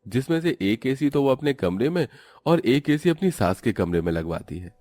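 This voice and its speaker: A man's voice: mid-range voice, sing-song, moderate pace, mellow. A man narrating a scripted family cartoon story as the storyteller.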